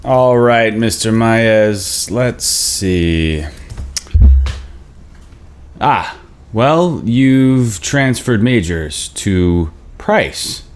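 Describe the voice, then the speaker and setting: A smug bureaucrat in his thirties played by a man at a table read, very slow and officious. He sounds unhurried and formal. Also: fairly low pitch, animated, clear, resonant tone. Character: smug, officious male financial aid advisor in his thirties. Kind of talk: script table read acting.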